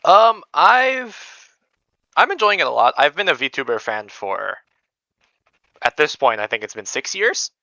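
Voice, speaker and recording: A young man from Indonesia chatting casually as an interview guest, hesitant and modest, with a clear, smooth voice. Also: on the high side, big pitch swings, a little slow, sharp tone, dry recording, dull audio. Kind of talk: casual chat.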